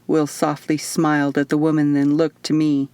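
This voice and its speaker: A woman reading her own first-person fiction audiobook as narrator, in an expressive storytelling style. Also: low voice, moderate pace, clear, resonant tone, no room sound.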